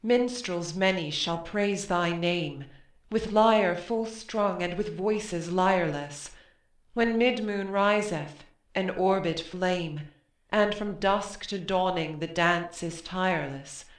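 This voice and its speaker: A solemn female chorus voice of Greek tragedy acted by a woman recites lyrical praise. It is fairly low and very unhurried, ringing in an echoey room. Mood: solemn, exalting. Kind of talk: classical verse drama reading.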